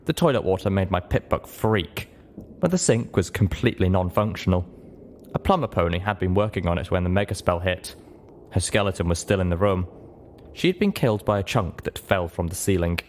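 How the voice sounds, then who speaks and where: Low-pitched man narrating a story. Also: lively intonation, fairly quick, slight background noise. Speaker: audiobook reader. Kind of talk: dramatic first-person story narration.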